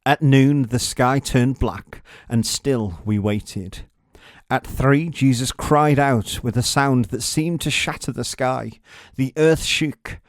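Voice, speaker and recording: A man reading a scripted children's story as a narrator, with dramatic, measured delivery. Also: mid-range voice, dry recording, clean studio sound.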